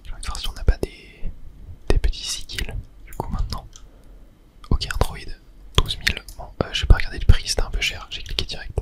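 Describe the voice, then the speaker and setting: An ASMR game host speaks entirely in a breathy whisper, with no voiced tone. The delivery is casual, like someone thinking aloud while playing and explaining each step to viewers. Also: brisk. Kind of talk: whispered ASMR gaming commentary.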